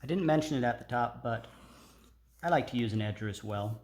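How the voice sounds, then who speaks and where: A middle-aged man giving a casual, unhurried hands-on tutorial in an informal, explanatory way. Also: medium pitch, darkish tone. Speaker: craft tutorial host. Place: indoor workshop at a workbench. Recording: clear background noise with clicks.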